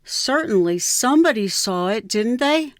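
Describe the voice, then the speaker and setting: A woman narrates a literary memoir with a measured, reflective delivery. She speaks very slowly in a smooth, clear voice. The sound is dry and close. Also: a little high, lively intonation, dry recording. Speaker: writer reading own piece.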